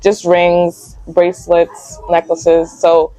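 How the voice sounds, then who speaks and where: A woman's voice: lowish voice, completely flat delivery, slow, dark tone, no room sound, rough recording. A young woman of Guyanese heritage, a magazine founder, chatting casually as an interview guest in a cafe, full of "like" fillers. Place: cafe and lounge.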